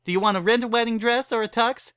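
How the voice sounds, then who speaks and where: A man voicing a tipsy, breezy middle-aged pony mare who officiates weddings with brisk, casual patter in a dramatic story reading. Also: very high voice, fairly even intonation, a bit bright, clean tone, no room sound, studio quality, voice pushed up. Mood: breezy, businesslike.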